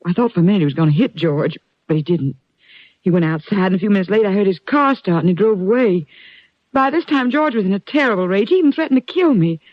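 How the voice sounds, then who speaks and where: An American woman playing a distraught, defensive rancher's wife in an old-time radio drama, with halting, dramatic delivery. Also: mid-range voice, lively intonation, dry recording, close to an everyday voice. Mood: agitated, defensive. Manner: dramatic, halting, plain country grammar. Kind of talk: mid-century American radio drama acting. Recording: dull, muffled old radio recording.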